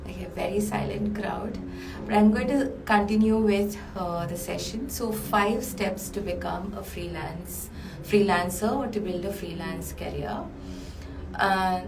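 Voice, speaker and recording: A tired, hoarse woman hosts a live session as a business coach, chatty with many ums and uhs, speaking from a small cold cabin. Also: on the low side. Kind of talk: live-streamed talk. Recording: roomy, far from mic, noisy.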